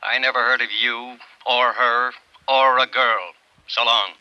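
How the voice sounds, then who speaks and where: Curt detective boss, sharp phone sound. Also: male voice, mid-range voice, fairly even intonation, measured pace, highs cut off, slight background noise. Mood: guarded, dismissive. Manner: brusque, suspicious. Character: curt, guarded male detective agency boss. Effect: telephone filter. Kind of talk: 1950s radio drama acting. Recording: thin telephone sound on old radio.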